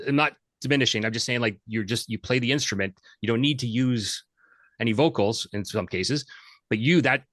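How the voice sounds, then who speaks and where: A man hosting a casual interview, asking long, halting questions with many restarts. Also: mid-range voice, a bit bright, no echo. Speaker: podcast host.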